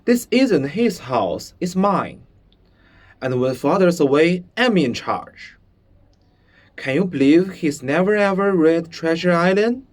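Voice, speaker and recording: A man's voice: fairly high pitch, expressive, slow, pitched above the performer's own voice. A man voicing a bold, generous young boy in a read-aloud story, speaking emphatically. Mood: defiant, boastful.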